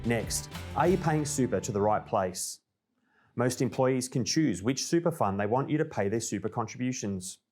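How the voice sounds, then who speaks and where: A man with an Australian accent presenting a scripted informational update in a clear, orderly, explanatory way. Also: medium pitch, expressive, moderate pace, a little mellow, soft background music. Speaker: presenter of a company newsreel.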